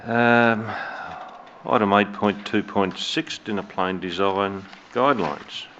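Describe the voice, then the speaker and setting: Man, Australian accent, mayor, very deep, halting with fillers, lo-fi council chamber recording, faint hiss. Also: steady tone, a bit bright. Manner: measured, hesitant with many fillers. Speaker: mayor chairing a council meeting. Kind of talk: formal meeting chairing.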